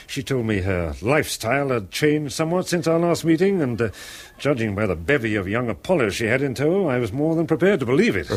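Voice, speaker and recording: A breezy, wealthy playboy. His mid-pitched voice swings widely and theatrically, jovial and clubby, as in a seventies radio drama. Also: very animated, a little fast. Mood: breezy, boastful. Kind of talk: 1970s radio horror drama acting.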